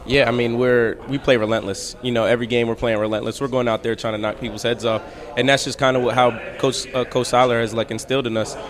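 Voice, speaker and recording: Quick-talking young man athlete. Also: medium pitch, little pitch movement. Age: young adult. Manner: easygoing, laughs between answers. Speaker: college football player. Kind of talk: media day interview.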